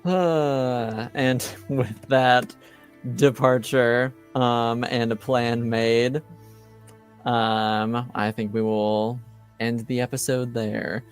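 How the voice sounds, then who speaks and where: Very low, smooth-voiced woman game master. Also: fairly even intonation, very slow, soft background music. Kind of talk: casual game narration.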